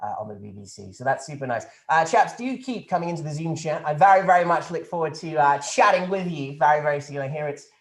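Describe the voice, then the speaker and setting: A man's voice: on the high side, expressive, fast talker, hardly any pauses, roomy sound. A man playing a pompous, flippant posh government minister who rambles and stumbles over a video call. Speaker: politician taking questions. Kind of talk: satirical press conference sketch.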